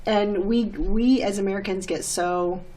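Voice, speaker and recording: A woman's voice: mid-range voice, fairly even intonation, unhurried, warm tone, low-quality recording. A woman co-hosting a talk show, chatty and encouraging toward her guest. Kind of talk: casual interview chat.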